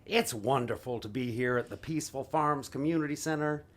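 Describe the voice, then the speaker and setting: A man playing an earnest, remorseful father and stage magician in an audio drama, speaking in a halting way. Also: medium pitch, natural voice. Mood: showy.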